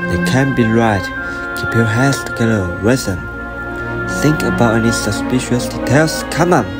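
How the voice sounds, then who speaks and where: A man acts a detective's former partner in an amateur radio play. He is earnest and frustrated, full of questions, in a medium-pitched voice. Music plays clearly behind him. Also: moderate pace, natural voice. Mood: frustrated, brooding. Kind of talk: amateur radio drama acting.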